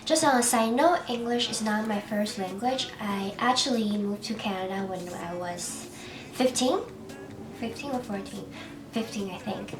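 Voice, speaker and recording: A young woman in her twenties with a Chinese accent, narrating a makeup tutorial in a soft, chatty and slightly self-conscious way. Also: medium pitch, normal speed, noisy background. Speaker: beauty vlogger. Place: small bright room.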